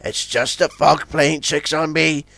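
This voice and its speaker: A man's voice: fairly high pitch, level tone, unhurried, gravelly, sharp tone, dry recording, full character voice. A man playing a level-headed but uneasy old tugboat in a children's story, with a wry, muttering manner.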